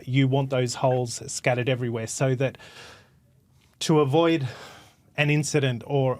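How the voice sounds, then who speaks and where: A man's voice: medium pitch, no room sound. A man, a former cabin crew member co-hosting a podcast, explaining safety ideas earnestly with analogies. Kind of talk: casual discussion.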